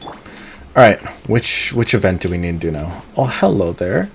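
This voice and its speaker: A man streaming a video game live, chatting casually and muttering to himself between bursts of excitement. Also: deep voice, moderate pace, vocal fry, slight background noise. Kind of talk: live gameplay commentary.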